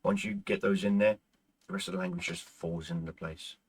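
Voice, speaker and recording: Man, casual rambling chat, gravelly fry, husky, losing his voice, native Irish speaker. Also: lowish voice, sing-song, brisk. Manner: rambling, with frequent stumbles and restarts. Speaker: gaming channel host.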